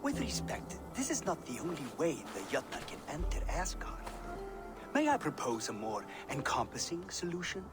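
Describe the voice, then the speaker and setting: A man's voice: fairly high pitch, big pitch swings, normal speed, over music. A man playing a courteous, cunning wandering master builder in a video game, speaking formally and eloquently with a deferential air. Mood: deferential, persuasive. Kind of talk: video game cutscene acting.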